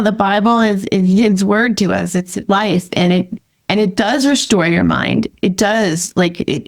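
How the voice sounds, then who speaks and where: A woman hosting a podcast, chatting casually in an enthusiastic, affirming way. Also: mid-range voice. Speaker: podcast host. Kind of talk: casual conversational interview.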